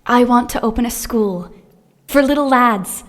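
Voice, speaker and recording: High-voiced, earnest young woman, played by an actress. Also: measured pace, natural voice. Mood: determined. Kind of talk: audio drama acting.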